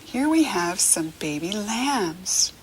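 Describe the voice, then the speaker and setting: Slow, lilting American woman teaching young children. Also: mid-range voice, expressive, husky, poor audio. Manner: encouraging, teacherly, pauses for the child to answer. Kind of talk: read-along lesson for young children, questions and praise.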